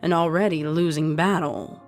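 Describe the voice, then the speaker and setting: A woman's voice: low voice, steady tone, normal speed, faint music behind, natural voice. A woman narrator reading a scripted story.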